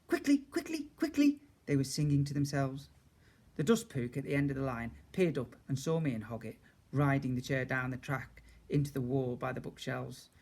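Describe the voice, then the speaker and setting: Bloke reading a kids story out loud, teacher style, mid pitched voice, expressive. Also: male voice, moderate pace. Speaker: teacher reading to young children. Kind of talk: expressive children's storybook narration.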